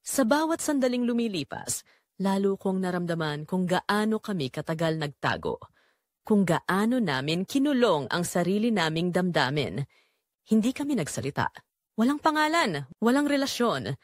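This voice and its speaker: A brooding, guilt-torn narrator played by a woman confesses in a scripted audio drama. The delivery is slow and deliberate with widely swinging intonation, in a mid-pitched voice recorded very dry and close. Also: sing-song, no echo, natural voice. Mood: brooding, intimate. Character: Jomar, brooding, guilt-torn man confessing a forbidden romance.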